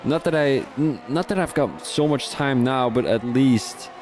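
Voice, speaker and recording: A man's voice: mid-range voice, a little slow, music in the background. A young Dutch man with a Dutch accent, commentating a sim racing league in a chatty, rambling way with many restarts. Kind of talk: live race commentary mixed with casual chat.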